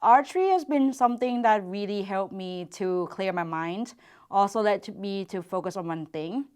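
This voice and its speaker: A young woman, speaking at a middling pitch. She answers questions earnestly and reflectively, often pausing to find her words. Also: a little mellow, smooth. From Thailand. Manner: earnest and reflective, with hesitant pauses. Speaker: archer and university PhD student.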